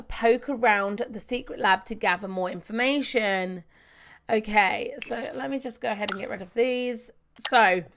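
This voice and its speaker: A woman's voice: fairly high pitch, slight background noise. A woman doing casual, chatty video game walkthrough commentary, talking to herself as she plays and reading out on-screen text. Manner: chatty, talks to herself while playing.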